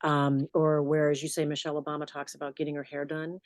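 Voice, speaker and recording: Low-voiced woman telling stories. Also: fast, dry recording, studio quality. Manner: warm storytelling, animated asides. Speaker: journalist and podcast host. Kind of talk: relaxed interview answers.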